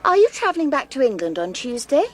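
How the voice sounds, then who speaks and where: High, solicitous English vicar's wife. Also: female voice, big pitch swings, fast talker, a little hiss or hum. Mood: friendly, solicitous. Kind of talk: radio drama acting.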